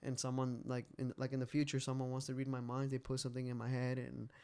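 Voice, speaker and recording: Rambling man in his late twenties, clear voice. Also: medium pitch, monotone, fast, dark tone. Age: late 20s. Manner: rambling, repeats phrases, swears casually. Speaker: podcast co-host. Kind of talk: casual, candid podcast chat.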